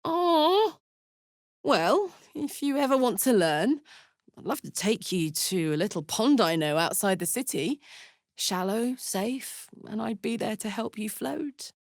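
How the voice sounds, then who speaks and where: An actress playing a brash, warm-hearted warrior woman in a video game, with an earnest, salty, blunt manner. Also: fairly high pitch, lively intonation, unhurried, no room sound, studio quality. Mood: tender, wistful. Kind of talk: video game character voice lines.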